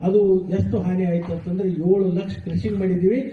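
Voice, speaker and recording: A man's voice: high-pitched, flat delivery, a little fast, a little hiss or hum. A man with a North Karnataka accent giving an emphatic, explanatory public speech. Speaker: speaker at a public meeting. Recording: muffled, echoey public-address sound, distant mic.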